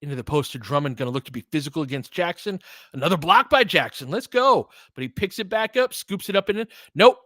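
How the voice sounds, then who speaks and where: A man doing casual live basketball commentary and analysis, talking informally and thinking out loud as he goes. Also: a little high, animated, fast, no room sound, studio quality. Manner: conversational, informal, thinking aloud. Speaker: basketball play-by-play streamer and analyst.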